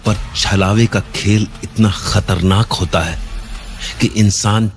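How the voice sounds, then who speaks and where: A man narrating a cartoon horror story in a suspenseful, measured storytelling manner. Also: lowish voice, fairly even intonation, faint music behind.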